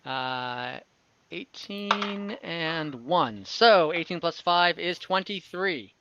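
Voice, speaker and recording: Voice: mid-range voice, lively intonation, very slow. A game master running an online tabletop fantasy game, thinking aloud while tallying dice and narrating combat. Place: online voice call. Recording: dull lo-fi mic with faint noise and clicks.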